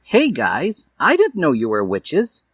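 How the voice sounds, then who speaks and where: Sweet, excitable schoolgirl for a story reading, played by a man: high, husky, girlish, very animated. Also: takes it slowly, no echo, full character voice. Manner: gushing, girlish.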